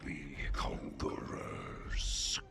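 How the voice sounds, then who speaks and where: An actor playing a malicious orc warlock in a fantasy game cinematic, with a guttural growl and menacing, deliberate delivery. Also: male voice, in a whisper. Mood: sinister, commanding. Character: malicious orc warlock offering demon blood.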